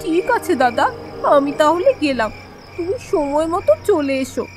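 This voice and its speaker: Woman playing an anxious, high-voiced village wife. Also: animated, normal speed, over music. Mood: urgent, pleading. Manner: alarmed, plaintive. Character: anxious, pleading village wife suspicious of her husband. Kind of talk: animated horror story acting.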